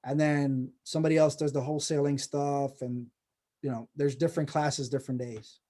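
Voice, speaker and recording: A man hosting a relaxed online session, speaking on a video call. His voice sits a bit high, yet the tone stays dark and mellow. He rambles along warmly, restarting his sentences as he encourages his guest. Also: normal speed. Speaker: host of a personal branding course. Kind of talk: casual video call chat.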